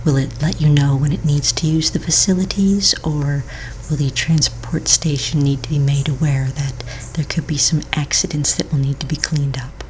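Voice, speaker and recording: A woman speaking in a soft, breathy near-whisper, playing a courteous, patient office manager in a gentle ASMR roleplay. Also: low voice, steady tone, moderate pace, a little hiss or hum, rough recording. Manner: gentle, polite, reassuring clerical patter.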